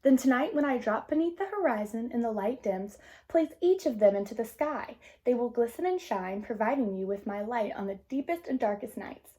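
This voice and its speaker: A woman voicing a kindly, nurturing sun in a storybook, speaking gently and with measured care to a child. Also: fairly high pitch, animated, close to an everyday voice. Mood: reassuring.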